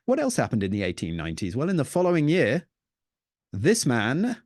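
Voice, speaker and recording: A man giving an illustrated talk on the history of the northern lights, telling it as a lively story with playful asides. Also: medium pitch, a little fast, no echo, studio quality. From Danish heritage. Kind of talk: illustrated presentation with slides.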